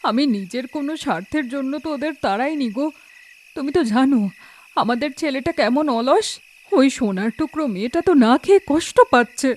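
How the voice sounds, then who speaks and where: A woman's voice: high voice, expressive, a little fast, darkish tone. A woman playing a stern middle-aged village mother in a cartoon, speaking emphatically. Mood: worried. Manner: emphatic, reproachful.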